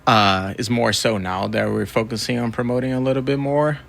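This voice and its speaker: A man's voice: mid-range voice, steady tone, normal speed, creaky, fairly bright tone. A man from Brazil speaking casually as a guest in an interview. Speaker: interview guest, record label co-founder and music photographer.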